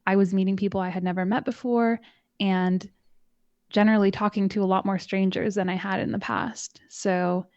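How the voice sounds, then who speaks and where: A soft-spoken woman talking calmly and evenly as a guest in a casual interview. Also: medium pitch, no echo, muffled recording. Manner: calm, measured, soothing. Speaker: podcast host and guest.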